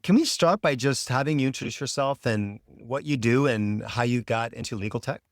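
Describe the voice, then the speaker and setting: A man hosting a podcast, reading a scripted intro and then asking questions in a relaxed way. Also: mid-range voice, moderate pace, no room sound, clean studio sound. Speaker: podcast host, lawyer. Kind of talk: podcast interview.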